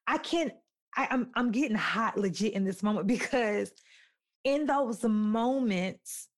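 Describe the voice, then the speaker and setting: A woman's voice: fairly high pitch, lively intonation, slow pace, long pauses. Emphatic woman telling a story. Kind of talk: candid podcast conversation.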